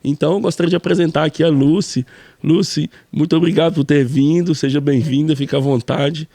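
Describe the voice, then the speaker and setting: A man's voice: fairly high pitch, steady tone, a little fast, mellow, no room sound. A man, a pastor, co-hosting a casual church podcast and repeating friendly affirmations.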